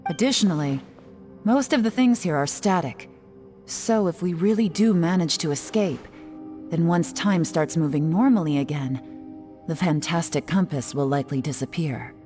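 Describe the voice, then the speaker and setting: A cool young woman investigator from a video game, played by an actress, speaks low with measured composure. There is a teasing, intrigued edge to her, and music plays behind the voice. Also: warm tone, close to an everyday voice. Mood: candid.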